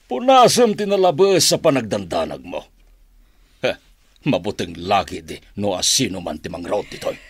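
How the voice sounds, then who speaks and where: A man's voice: on the low side, very animated, normal speed, husky, no echo, exaggerated voice. A Filipino man playing a boastful crime boss, swaggering in radio drama style. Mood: confident, boastful.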